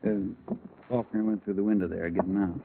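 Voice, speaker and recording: An actor playing a gruff, laconic American sheriff in old radio drama, blunt and folksy. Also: male voice, deep voice, fairly even intonation, a little fast. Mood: grim. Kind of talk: 1940s-50s radio acting. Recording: muffled, faintly noisy old radio recording.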